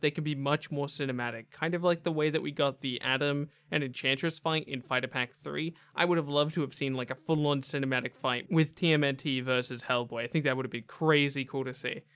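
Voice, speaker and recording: Highish-voiced enthusiastic young man. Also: a bit bright, clean tone, dry recording. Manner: chatty, emphatic. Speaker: gaming video host. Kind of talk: casual enthusiastic top-ten commentary.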